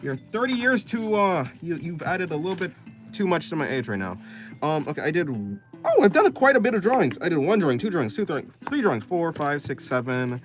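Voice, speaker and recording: A man's voice: on the high side, big pitch swings, a little fast, faint music behind. A man in his twenties chatting casually on a livestream while drawing, thinking aloud and answering chat in loose, restarting sentences. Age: 20s. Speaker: streamer.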